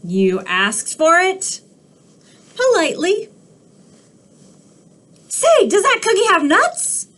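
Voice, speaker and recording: A woman voicing a pushy, whiny young male pigeon in a storybook read-aloud, loud and demanding. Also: extremely high pitch, huge pitch swings, slow, pauses often, pitched above the performer's own voice, full character voice. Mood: excited, demanding.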